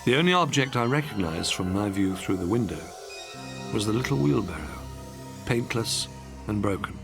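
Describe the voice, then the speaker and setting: A man's voice: mid-range voice, gravelly. A man reading an audiobook as a dramatic, scripted narration.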